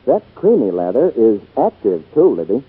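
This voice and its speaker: A man's voice: on the low side, very animated, normal speed, breathy edge. A male radio announcer delivering scripted 1940s advertising in polished, emphatic sales patter. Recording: tinny 1940s radio broadcast.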